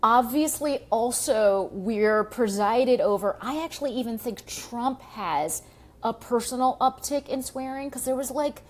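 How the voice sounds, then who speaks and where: Woman, comedian, podcast host, high-ish voice, casual panel banter. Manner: quick, animated, swears freely.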